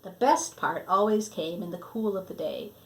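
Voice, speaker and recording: A woman reading a children's story aloud expressively, with deliberate phrasing that sometimes drops to a hush. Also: fairly low pitch, poor audio. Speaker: storybook reader.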